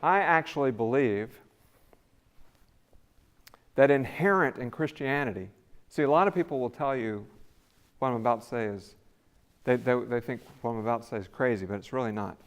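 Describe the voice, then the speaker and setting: A man's voice: medium pitch, normal speed, long pauses. An older man giving a conversational lecture in a church sanctuary, with a big voice and rising emphasis on key words. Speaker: visiting scholar lecturer. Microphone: podium mic, then away from mic.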